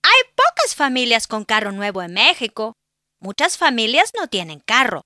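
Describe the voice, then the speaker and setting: Man as a talkative teen older sister, high falsetto, storybook voice. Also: huge pitch swings, moderate pace, pushed and bright, no room sound, studio quality, heavily acted. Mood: earnest. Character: chatty sixteen-year-old girl, older sister.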